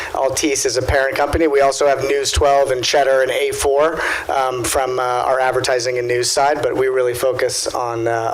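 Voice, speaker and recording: A man speaking as a business panelist, explaining casually and haltingly, often repeating his words. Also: medium pitch, low-quality recording. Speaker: marketing executive panelist. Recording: live event with clear background noise.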